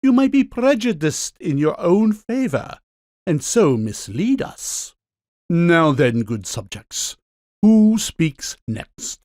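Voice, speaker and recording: A man's voice: fairly high pitch, big pitch swings, takes it slowly, a little mellow, dry recording, clean studio sound. An actor playing a pompous king who is a living kitchen cleaver, speaking in declamatory rebukes in a full-cast audiobook. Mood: stern, dry.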